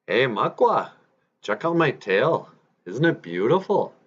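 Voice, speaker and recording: A man's voice: fairly low pitch, animated, unhurried, a bit bright, highs cut off. A man voicing a vain, easily offended beaver in an animal folktale. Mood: boastful.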